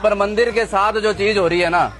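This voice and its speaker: Man at a street market, forceful protester, high-pitched, light music bed. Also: steady tone, a little fast, a faint crowd. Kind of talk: street interview.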